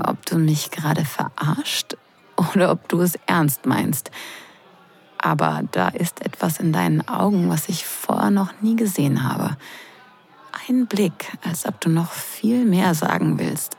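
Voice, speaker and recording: A woman acting in an intimate audio drama as a teasing wedding guest who is drawn in, breathy and hushed. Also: on the low side, full character voice. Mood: hushed, tender. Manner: breathy, hushed, slow and sensual delivery.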